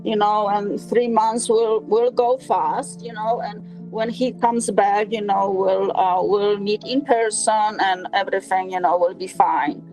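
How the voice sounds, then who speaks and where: A woman in her sixties from Poland with a Polish accent, telling her own story in an interview and often pausing on fillers. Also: medium pitch, steady tone, darkish tone, faint music behind, low-quality recording. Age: 60s. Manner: halting, with frequent fillers.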